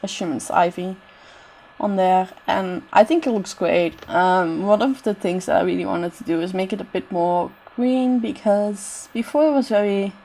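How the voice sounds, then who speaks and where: A woman's voice: medium pitch, moderate pace, warm tone. A woman with a bad cold, her voice straining, giving casual rambling commentary over a building game with lots of "I don't know" asides. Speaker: game builder narrating a renovation.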